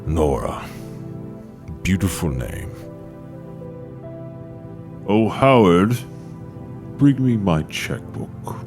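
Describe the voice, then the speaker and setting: An actor playing a suave, sinister cannibal gentleman in a horror audio drama, gravelly, theatrical and mockingly polite, savouring each cruel word. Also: low-pitched, very animated, drawn-out words, long pauses, music in the background, heavily acted. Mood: softened, smug. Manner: theatrical, mock-polite, savouring his words.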